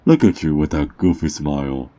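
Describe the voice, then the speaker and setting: A man playing a sly, mocking underground drug dealer in an ASMR roleplay, teasing and smug, with theatrical drawn-out words. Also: extremely low pitch, warm tone. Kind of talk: ASMR character roleplay monologue.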